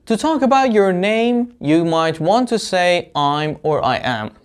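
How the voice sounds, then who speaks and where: A man speaks as an online English teacher at a slow, measured pace. His delivery is deliberate and explanatory, laying out phrases one at a time for learners. His voice sits at the higher-middle to ordinary range for a man. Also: animated, clean tone. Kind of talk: instructional lesson to viewers.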